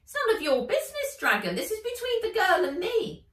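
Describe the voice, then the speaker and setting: A woman voicing a tiny, bony, greedy female elf with a shrill, cackling, bad-tempered manner in a storybook reading. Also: wildly swooping pitch, fairly quick, reverberant, recorded from a distance, heavily acted. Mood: haggling, snappish.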